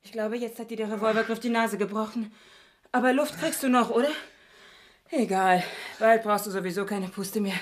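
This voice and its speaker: An actress in a radio play playing an unhinged, possessive murderess, taunting and dramatic, turning from sweet to venomous. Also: female voice, on the high side, fairly even intonation, moderate pace, slight background noise, lightly acted. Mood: menacing. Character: unhinged murderess, possessive, mocking, chillingly sweet about killing.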